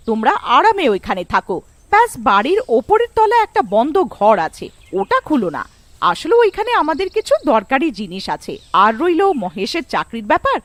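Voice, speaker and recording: Woman doing a helpful older auntie for a cartoon, high and lively, reassuring. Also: no room sound. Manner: reassuring, instructive. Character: kindly, well-off older neighbour aunt offering help. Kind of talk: cartoon story acting.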